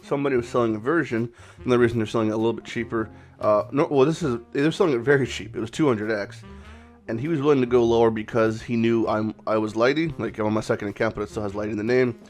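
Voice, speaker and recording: A man with a raspy voice, hoarse from a cold, hosting a casual game commentary vlog in a rambling, off-the-cuff way with some swearing. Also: medium pitch, fast talker, a little mellow, faint music behind. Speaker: gaming channel host.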